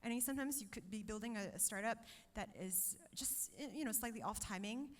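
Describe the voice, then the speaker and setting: A woman's voice: fairly high pitch, a little fast. A woman in her thirties from Malaysia, an agency chief giving fluent on-stage interview answers with many "um"s and a tag "right?". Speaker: startup agency chief executive. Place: on stage in a hall. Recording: reverberant hall.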